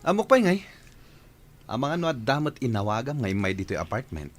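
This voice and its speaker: A man's voice: mid-range voice, sing-song, a little fast, soft background music. A man acting a domineering, irritable young boyfriend in a radio drama. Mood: irritated.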